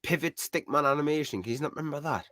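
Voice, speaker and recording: A man with a thick, strong accent talking fast in a casual live chat about ants, full of "like" and "I mean". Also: on the high side, fairly bright tone, dry recording, studio quality.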